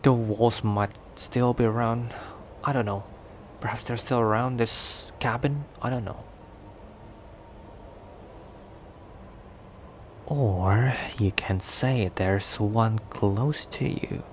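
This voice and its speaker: A man playing a cocky, protective young werewolf stranger in an intimate ASMR roleplay, talking in a teasing, halting conversational way. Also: medium pitch, darkish tone, a little hiss or hum, lightly acted. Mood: sly, teasing. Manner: teasing, breathy sighs and chuckles, halting conversational delivery. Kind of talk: ASMR roleplay acting, intimate one-sided dialogue.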